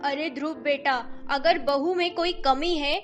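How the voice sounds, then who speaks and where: Woman acting as middle-aged Indian mother, high voice, bossy, nagging, emphatic, cartoon melodrama, light music behind. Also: steady tone, measured pace, fairly bright tone. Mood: nagging, exasperated. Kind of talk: animated family melodrama acting.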